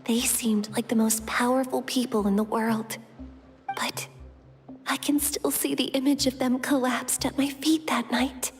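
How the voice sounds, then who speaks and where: A woman's voice: high-pitched, little pitch movement, unhurried, music in the background. A woman playing a brave, protective young warrior haunted by childhood fears in a dramatic video game. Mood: haunted, somber.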